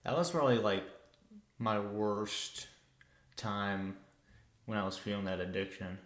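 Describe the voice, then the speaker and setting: Slow-talking young man, echoey room. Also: on the low side, steady tone, a bit bright. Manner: unhurried, hesitant, many filler words. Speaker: podcast co-host. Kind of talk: casual podcast chat.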